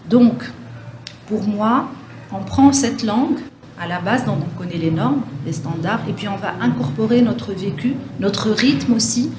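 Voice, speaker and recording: A woman professor answers interview questions thoughtfully, pausing and restarting often. Her voice sits in an echoey room with a steady hiss of noise behind it. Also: medium pitch. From France. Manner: thoughtful, many hesitations and restarts. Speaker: French professor, guest.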